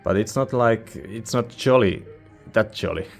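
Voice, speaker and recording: A man's voice: lowish voice, a little slow, creaky, faint music behind. A man who is a non-native English speaker gives a casual, rambling review as a host, informal and hesitant as he searches for words. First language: not English. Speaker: music reviewer, channel host.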